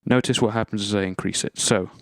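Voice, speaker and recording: A man's voice: fairly low pitch, fairly even intonation, fast. A man presenting an instructional gear demonstration, explaining methodically, step by step. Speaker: tutorial presenter.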